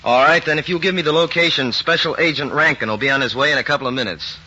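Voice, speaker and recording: An actor playing a brisk, businesslike FBI agent in charge of a case, in a 1940s radio crime drama. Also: male voice, fairly high pitch, sharp tone, breathy edge, faint music behind, exaggerated voice. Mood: brisk, focused. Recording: muffled old 1940s radio recording, faint hiss.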